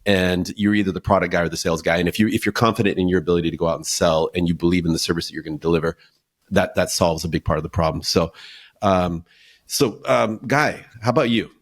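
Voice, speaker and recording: Deep-voiced male podcast host. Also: fast. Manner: warm backchannel, hesitant restarts. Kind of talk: casual interview chat.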